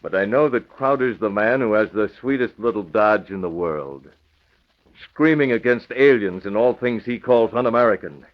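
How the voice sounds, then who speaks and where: An actor playing a confident, stern masked vigilante in an old radio drama, clipped and sardonic. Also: male voice, on the low side, expressive, normal speed, lightly acted. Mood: menacing, sardonic. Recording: muffled vintage radio recording.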